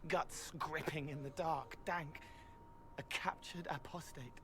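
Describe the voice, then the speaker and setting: A man playing an anguished young spirit turned human in a video game, speaking in halting, intense fragments. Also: fairly high pitch, fairly bright tone, slight background noise.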